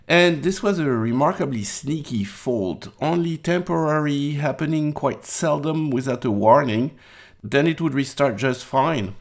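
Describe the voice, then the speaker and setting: Gravelly man, lowish voice. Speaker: host of electronics restoration channel. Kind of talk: casual workshop chat and narration. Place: electronics lab workshop.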